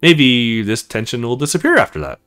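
A man's voice: mid-range voice, lively intonation, normal speed, fairly bright tone, smooth, faint music behind, lightly acted. A man voicing an awkward, earnest young male recruit in a visual novel, hesitant and self-conscious.

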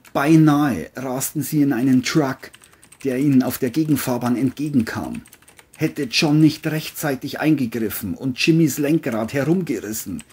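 A man's voice: mid-range voice, darkish tone, breathy edge. A man reading scripted narration for an audio crime story, as its narrator.

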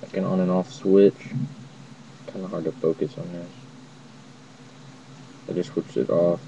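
A young man talks through a casual review in a low, monotone voice. His delivery is informal and halting, picked up by a distant mic in a muffled home recording. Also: gravelly, slight background noise. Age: young adult. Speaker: app reviewer. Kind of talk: casual tutorial to camera. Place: indoors, dim cluttered room at home. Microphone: laptop mic, later phone headset mic.

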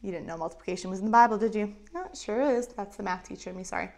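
A woman in her thirties with a lapel mic, telling a Bible story to children in an animated way with acted-out voices. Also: medium pitch, racing. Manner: animated, acts out voices of characters. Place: indoors in front of a map backdrop.

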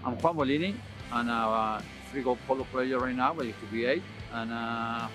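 Polo player, relaxed interview talk, mid pitch, guitar clearly behind. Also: male voice, normal speed. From Argentina. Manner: casual, self-correcting. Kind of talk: short interview clip.

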